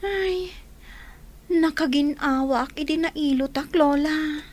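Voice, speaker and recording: A woman's voice: high voice, slow pace. A woman playing a sulky, aggrieved young granddaughter in an audio drama, with a plaintive manner.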